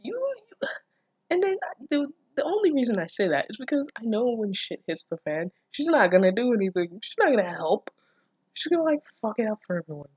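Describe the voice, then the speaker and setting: A woman's voice: on the high side, big pitch swings, brisk, a little mellow, husky, no echo, highs cut off. A woman reading and commenting on fan fiction in a casual, comedic way, sweary, sardonic and exasperated, stumbling over her words. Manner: breaks into laughter and stammers.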